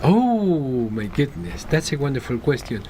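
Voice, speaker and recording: An eager man with an Argentine Spanish accent speaks as a talk-show guest, chuckling often and pausing on fillers as he explains. His voice has a middle pitch, with faint hiss underneath. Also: big pitch swings, mellow. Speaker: guest, business owner. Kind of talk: casual interview chat.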